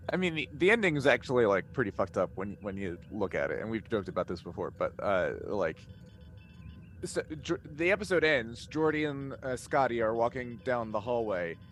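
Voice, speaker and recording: A man chatting casually in a group as a cast member answering fan questions, telling things in an animated, informal way. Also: medium pitch, normal speed, soft background music.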